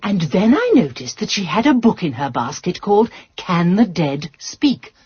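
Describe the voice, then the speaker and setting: An actress playing a prim, resourceful English spinster sleuth in a radio drama, speaking in a fussy, genteel and precise manner. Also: female voice, on the low side, sing-song, moderate pace, a little mellow, breathy edge, dull audio, full character voice. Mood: confiding, pleased. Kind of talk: British radio drama acting.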